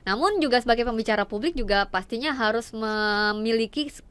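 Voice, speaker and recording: A woman hosting a podcast interview, asking long framing questions that she checks with a quick "ya" at the end. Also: a little high, fairly bright tone, smooth. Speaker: podcast host.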